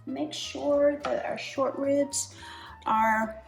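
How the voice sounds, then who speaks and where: A woman hosts her home cooking show in an unhurried, chatty way, with a slightly high voice. She fills her talk with asides and filler words as she cooks. The kitchen sounds echoey, and faint music plays underneath. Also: steady tone, gravelly. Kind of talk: casual home cooking demonstration.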